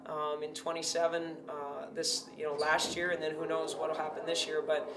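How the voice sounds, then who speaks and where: Woman in her forties, basketball coach, even measured talk, heard from a distance in a roomy space. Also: sits low, a crowd in the background. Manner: steady, measured, many fillers. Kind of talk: post-game interview. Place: indoor press backdrop. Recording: roomy, distant, faint hum.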